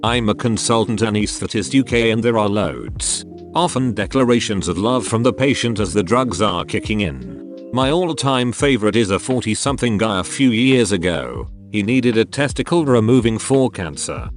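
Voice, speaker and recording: Man, low voice, lively reading, narration over faint music. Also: moderate pace. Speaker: narrator reading forum posts. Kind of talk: read-aloud narration.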